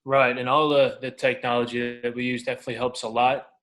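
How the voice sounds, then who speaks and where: A man's voice: mid-range voice, little pitch movement, a little fast, a bit bright. A man in his twenties, a professional ballplayer, talking casually and candidly in an interview, using colloquial, anecdotal phrasing. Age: 20s. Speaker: professional baseball pitcher being interviewed.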